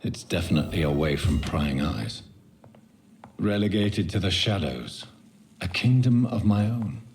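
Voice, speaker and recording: An actor playing a grave, educated doctor in a video game, speaking in a measured, formal and brooding manner. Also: male voice, deep voice, expressive, a little hiss or hum. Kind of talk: dramatic video game voice acting. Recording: game music behind the voice.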